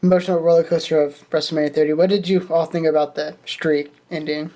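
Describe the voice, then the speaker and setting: A woman's voice: low-pitched, flat delivery, fast talker, mellow. A woman co-hosting a casual podcast, chatting in a joking, teasing way. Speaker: podcast co-host.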